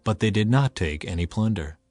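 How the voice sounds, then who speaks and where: A man's voice: lowish voice, lively intonation, a little fast, a little mellow, dry recording. A man reading scripture as a scripted, dramatized audiobook narrator. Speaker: Bible narrator. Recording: music behind a dry studio voice.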